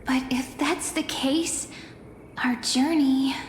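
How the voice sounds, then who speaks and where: Game casting: mystical young female fortune-teller, gentle, fairly high, slow, echoing. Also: steady tone, a little hiss or hum. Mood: warm, earnest. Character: gentle young female oracle card reader, serene, mystical. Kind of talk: scripted game voice acting. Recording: echoing hall reverb, faint music.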